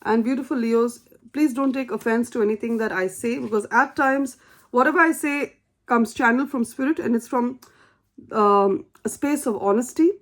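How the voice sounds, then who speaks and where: A woman with a somewhat high voice gives a tarot reading in English, which is not her first language. She speaks earnestly and encouragingly, repeating her key phrases for emphasis. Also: moderate pace. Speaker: tarot reader.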